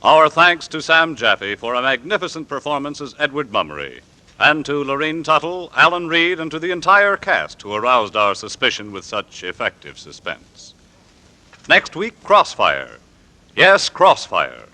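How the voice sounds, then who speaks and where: A man announcing in theatrical 1940s radio style, with dramatic, deliberate emphasis and suspenseful pauses. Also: on the high side, sing-song, bright, forceful tone. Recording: vintage 1940s radio recording with music.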